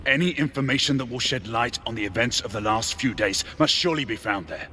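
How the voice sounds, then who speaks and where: A man's voice: medium pitch, brisk, sharp tone, a little hiss or hum, lightly acted. A man playing a determined Victorian knight in a game cutscene, formal and earnest, with a British accent. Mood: resolute. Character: grave, determined Victorian knight, urgent and loyal. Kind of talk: video game cutscene acting, period drama.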